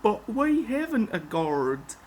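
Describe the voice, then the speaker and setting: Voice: mid-range voice, very animated, slow, a little mellow, slight background noise. A woman voicing a polite, worried little tank engine in a storybook reading.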